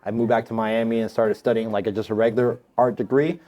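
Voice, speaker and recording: A man's voice: fairly low pitch, level tone, fast, mellow. A man in his thirties talking casually as a podcast guest, in an informal, slangy way with some swearing. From United States. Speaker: tattoo artist, podcast guest. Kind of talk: casual conversational storytelling.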